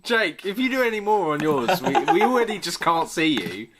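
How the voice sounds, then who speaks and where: A man's voice: high voice, big pitch swings, brisk, a loud crowd. A man with a British accent in casual, joking banter as a podcast co-host, teasing and mock-serious.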